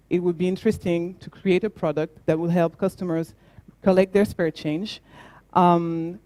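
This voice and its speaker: Mellow-voiced French woman founder speaking. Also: on the low side, dry recording. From France. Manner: earnest, with frequent fillers and restarts. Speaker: startup founder. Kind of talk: conference talk.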